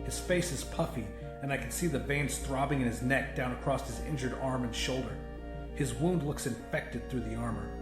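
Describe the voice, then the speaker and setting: A man's voice: mid-range voice, moderate pace. A man narrating horror fiction as an audiobook, in an intense, theatrical first-person style. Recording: roomy echo, music underneath. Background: music.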